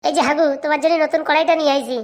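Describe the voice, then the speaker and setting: Woman voicing a high, chatty neighbour lady. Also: steady tone, very fast, close to an everyday voice. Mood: chatty, encouraging. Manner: chatty, coaxing, colloquial. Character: chatty, helpful neighbourhood sister-in-law, a shopkeeper. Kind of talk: comic cartoon dialogue.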